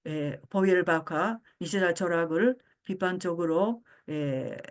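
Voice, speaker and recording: An older woman born in Japan giving a philosophy lecture, pausing often with fillers as she searches for words. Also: lowish voice. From Japan (Osaka). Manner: frequent hesitation fillers, halting.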